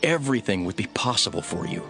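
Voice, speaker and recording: An actor playing an authoritative, earnest holy teacher in a dramatised scripture recording. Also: male voice, on the low side, expressive, fast talker, vocal fry. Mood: calm, instructing. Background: music.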